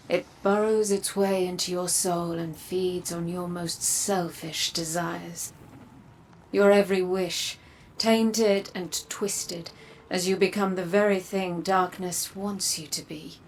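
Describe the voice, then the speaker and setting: Brief: resolute female game leader, grave and low, slow delivery, faint noise behind. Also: fairly even intonation, low-quality recording. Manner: grave, deliberate, emphatic. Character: stern, resolute woman leader warning against darkness. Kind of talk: dramatic video game monologue.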